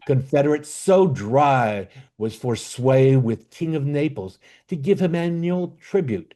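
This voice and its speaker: Man acting a wronged exiled duke, mellow voice. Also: medium pitch, animated, unhurried, close to an everyday voice. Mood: bitter, aggrieved. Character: exiled duke and father, wronged, bitter, commanding. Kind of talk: amateur Shakespeare play reading. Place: online video call.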